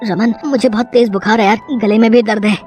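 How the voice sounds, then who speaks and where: A woman's voice: fairly high pitch, fairly even intonation, brisk, a little mellow, soft background music, lightly acted. Woman playing a coughing, sick young man. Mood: suffering, regretful.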